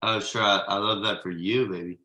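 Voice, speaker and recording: A man's voice: on the low side, steady tone, fairly quick, sharp tone. A man reading the part of a forbearing, drily sarcastic husband and father in a conversational comedy table read, with roomy echo. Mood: dry. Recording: roomy, echoey online video call.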